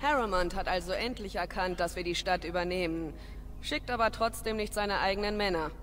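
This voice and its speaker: An actress voicing a haughty, ruthless crime boss in a fantasy video game, imperious and threatening. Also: female voice, fairly low pitch, a little fast, slight background noise, natural voice. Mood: haughty scorn.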